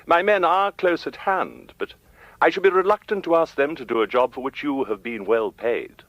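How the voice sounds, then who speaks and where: An actor playing a polite, formal crime boss who threatens with measured courtesy in a radio thriller. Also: male voice, fairly high pitch, fairly bright tone, no echo, lo-fi sound, lightly acted. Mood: silky threat. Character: coolly menacing, courteous male crime boss.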